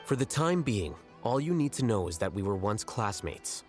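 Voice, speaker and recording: An actor playing a blunt, aloof male scholar in a game, measured and condescending. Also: lowish voice, big pitch swings, darkish tone, soft background music. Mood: cool. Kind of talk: game voice acting.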